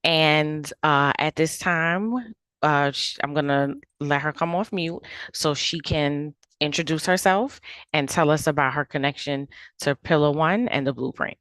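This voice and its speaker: A woman moderating a committee video meeting, speaking slowly and deliberately with filler pauses. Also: low-pitched, a bit bright, no echo. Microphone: video call.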